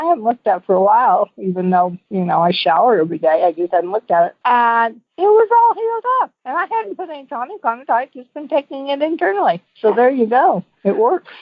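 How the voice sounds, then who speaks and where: Female call-in guest, medium pitch, casual and meandering testimonial delivery, narrow tinny telephone sound. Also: dry recording. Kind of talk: casual rambling personal testimonial. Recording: tinny narrow phone line.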